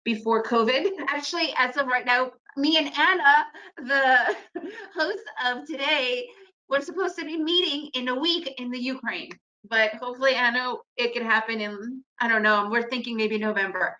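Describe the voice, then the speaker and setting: Bright, chatty woman presenting a webinar. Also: high voice, normal speed, low-quality recording. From Soviet Union, raised in the United States. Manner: energetic and chatty, with frequent restarts and "right?" tags. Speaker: webinar presenter, day trader. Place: home in Guatemala.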